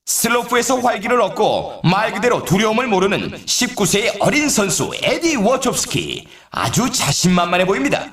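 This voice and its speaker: A man's voice: high voice, very animated, moderate pace, hardly any pauses, a crowd in the background. A man playing an upbeat snowboard race announcer in a video game, with a dramatic, showy commentary delivery. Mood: enthusiastic. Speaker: sports announcer. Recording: echoey, distant sound.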